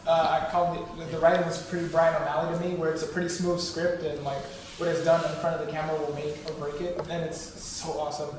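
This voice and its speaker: A man's voice: on the high side, level tone, fast talker. A man casually asking a question from the audience at a panel. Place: indoor room at a table read event. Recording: distant, very echoey, faintly noisy room.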